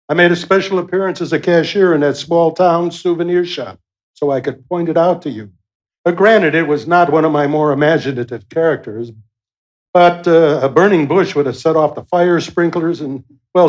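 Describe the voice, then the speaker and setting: A man performs God for a radio play, in a slightly high male voice. He is wry and affectionate, chiding with a folksy, teasing reassurance. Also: normal speed, natural voice, rough recording.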